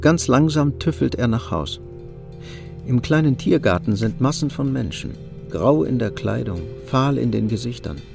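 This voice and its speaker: The wry narrator of a German radio play. His mid-pitched voice is gravelly and moves expressively through the story, with prominent guitar music behind it. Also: lively intonation, normal speed. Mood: somber.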